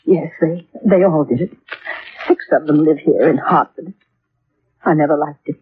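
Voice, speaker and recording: An actress playing a worried, middle-aged mother in an old-time radio drama, speaking in a subdued, hesitant manner with a husky voice. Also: low voice, moderate pace. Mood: worried, regretful. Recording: thin, phone-like old radio recording.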